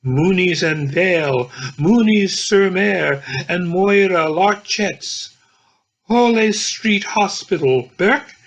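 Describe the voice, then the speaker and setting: A man reading the part of a sober, prudent Oxford don who scolds and watches, in a husky voice. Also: high-pitched, animated, slow pace, a bit bright, full character voice. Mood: admonishing. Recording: dull lo-fi home recording.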